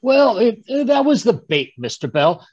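A man playing a genial, fussy older English gentleman, chattily recounting his adventures in old-time radio drama style, with a husky voice. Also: high voice, big pitch swings, unhurried, no room sound, heavily acted. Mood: amused. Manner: chatty, chuckling, rambling storyteller with stammered asides. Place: home room on a group video call. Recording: dull, dry video-call audio.

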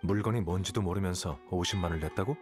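Game role: cool, calculating young male scholar, played by a man, deep and measured, condescending, over clear music. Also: lightly acted. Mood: detached. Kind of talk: video game voice acting.

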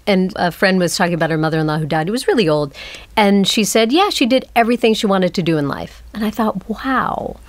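A woman's voice: mid-range voice, brisk, no room sound. A woman talking reflectively as a playwright in a panel conversation.

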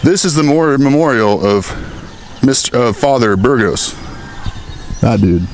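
A man's voice: medium pitch, animated, a little slow. An American man in his thirties talking to a handheld camera in a town plaza, rambling and joking in a casual vlog. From United States. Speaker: vlogger. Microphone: handheld camera at arm's length. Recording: outdoor recording with clear street noise.

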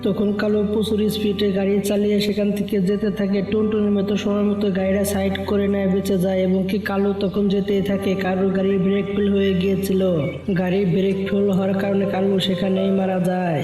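Brisk, animated narrator with roomy echo. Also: mid-range voice. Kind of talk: scripted children's cartoon narration. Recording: roomy echo, distant, music underneath.

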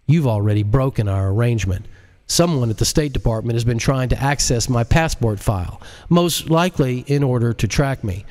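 A man's voice: medium pitch, lively intonation, fairly quick, a little mellow, lightly acted. A man voicing a cocky, sardonic computer genius with a mocking, folksy, scolding manner in an audiobook. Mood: stern, accusing.

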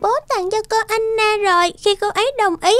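A woman voicing a cheerful, eager five-year-old girl in an animated drama, sweet and excitable. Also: extremely high pitch, fairly quick, faint music behind. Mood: excited.

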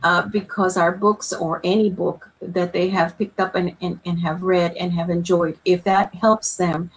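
A woman's voice: on the low side, level tone, a little slow, slightly rough, rough recording. A woman, an author and former librarian, answering a panel question over a video call in an earnest, thorough way with self-deprecating asides. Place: home room on a video call.

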